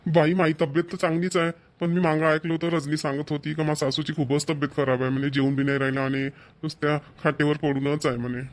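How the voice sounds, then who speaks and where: A man's voice: on the high side, little pitch movement, fast talker, close to an everyday voice. A man playing a doting, proud middle-aged village father in a cartoon, with a rural Maharashtrian Marathi accent and earnest, homely manner. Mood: chatty. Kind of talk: Marathi cartoon family comedy voice-over.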